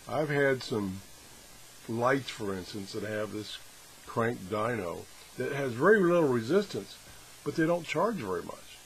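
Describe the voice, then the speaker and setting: A man talking casually and off the cuff as he tests a product, thinking aloud with a self-deprecating, hands-on manner. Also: mid-range voice. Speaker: product reviewer. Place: home workshop, indoors. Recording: clearly noisy workshop recording.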